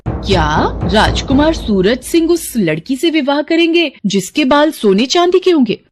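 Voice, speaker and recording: A woman's voice: mid-range voice, natural voice. Woman voicing a conniving cruel fairy-tale stepmother. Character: scheming cruel stepmother, greedy, plotting for her daughter. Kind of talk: Hindi animated fairy-tale acting.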